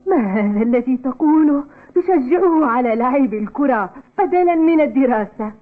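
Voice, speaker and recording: An actress playing a protective, caring mother who frets over her son in a dubbed cartoon, gently admonishing. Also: sits high, expressive, moderate pace. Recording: tinny band-limited audio with music behind.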